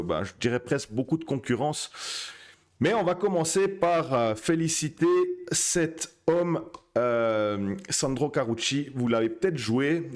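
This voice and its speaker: A man's voice: medium pitch, darkish tone. A man hosting a casual poker live stream, talking with many fillers and restarts. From Switzerland.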